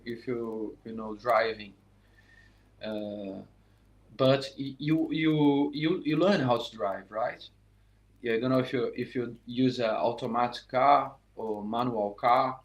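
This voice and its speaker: A middle-aged man, an English speaker, giving an unscripted live saxophone lesson. He talks haltingly, restarting phrases and saying "you know" often. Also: medium pitch. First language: Portuguese. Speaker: saxophone teacher.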